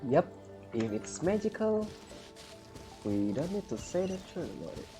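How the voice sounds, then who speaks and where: Gamer commentator: woman, low and unhurried, casual, with game music faintly behind. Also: big pitch swings, mellow. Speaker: game player commenting on a playthrough.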